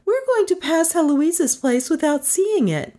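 Impish young woman for an audiobook, played by a female narrator: high, exclamatory, quick to scold and to take alarm. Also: expressive, mellow. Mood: alarmed, shrill. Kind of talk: audiobook character dialogue.

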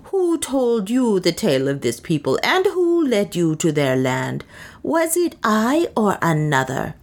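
A woman's voice: medium pitch, wildly swooping pitch, very slow, a little mellow, pitched above the performer's own voice, heavily acted. A woman voicing a sardonic, resentful native serving woman in an audiobook, with an accusing manner. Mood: furious, resentful. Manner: satirical, glaring, accusing. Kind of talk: audiobook character acting.

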